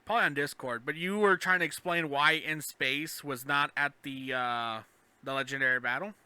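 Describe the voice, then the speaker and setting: A man's voice: a little high, moderate pace, pushed and bright, clean tone, no room sound. A man chatting casually as a podcast co-host. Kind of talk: casual chat.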